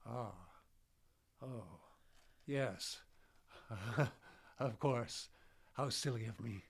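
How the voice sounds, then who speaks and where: An actor playing an elderly, confused doctor in a radio drama, muttering and halting, irritable and evasive. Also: male voice, medium pitch. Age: older. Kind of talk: live radio drama acting. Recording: clearly noisy live radio drama recording.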